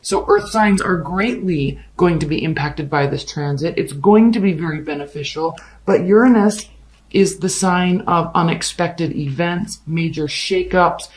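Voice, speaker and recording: A tarot reader giving a casual love reading, the voice raspy and hoarse from overuse, with a blunt, emphatic pep-talk delivery. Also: mid-range voice, slight background noise, rough recording.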